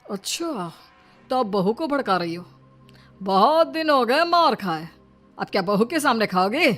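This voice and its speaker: A woman's voice: on the high side, big pitch swings, unhurried, fairly bright tone. Woman voicing a scornful old father-in-law. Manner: scolding, contemptuous. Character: harsh bullying older male father-in-law. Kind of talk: cartoon dubbing. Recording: faint background music.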